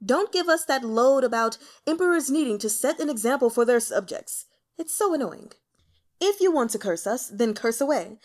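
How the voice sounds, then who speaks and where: A woman's voice: sits high, expressive, moderate pace. A woman voicing a young male emperor who is irritable and flippant, with a bored, scornful, imperious manner. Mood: mocking, annoyed.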